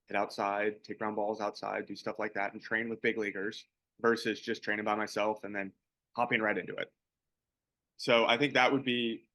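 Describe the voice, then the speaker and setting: Bright-voiced man in casual chat. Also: fairly low pitch, no room sound, poor audio. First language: English. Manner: relaxed, rambling, many restarts. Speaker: podcast co-host, pro baseball player.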